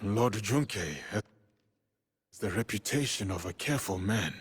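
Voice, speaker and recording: An actor playing a stoic, honourable warrior of feudal Japan in a video game, speaking in measured, formal phrases. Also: male voice, lowish voice, lively intonation, husky, no echo. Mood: formal, respectful. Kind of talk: video game cutscene acting.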